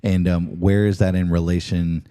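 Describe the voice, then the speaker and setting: A man's voice: deep voice, little pitch movement, normal speed, mellow, no room sound, studio quality. A man hosting a talk show, chatting casually with his guest in an interview.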